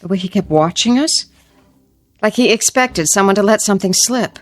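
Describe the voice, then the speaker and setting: A woman's voice: mid-range voice, normal speed, no room sound, close to an everyday voice. A woman acting as an uneasy, watchful wife in a radio drama.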